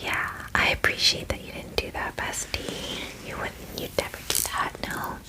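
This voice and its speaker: A woman voicing a chatty, clingy female dragon in a breathy ASMR whisper, gushing casually like a best friend in a fantasy roleplay. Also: normal speed, natural voice. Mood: mildly miffed, chatty. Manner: gushing valley-girl chattiness, filler-heavy, casual.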